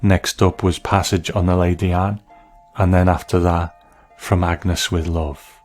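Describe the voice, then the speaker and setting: A man with a low voice delivers scripted commentary as a host. He is measured and appreciative in his analysis, with faint music underneath. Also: level tone, darkish tone. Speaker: host of a TV episode commentary show.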